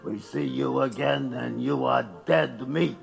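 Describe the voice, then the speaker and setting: A menacing male hired thug in an audio drama. His voice is very gravelly and he takes his time, cocky and threatening, in a reverberant space. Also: medium pitch, steady tone, a little slow. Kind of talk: radio drama acting. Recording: reverberant room with music underneath.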